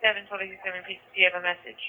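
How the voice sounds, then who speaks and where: A man's voice: sits high, completely flat delivery, racing, bright, forceful tone. A man working as a police dispatcher, speaking in clipped procedural phrases and repeating details over a two-way radio. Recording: tinny narrowband police scanner radio.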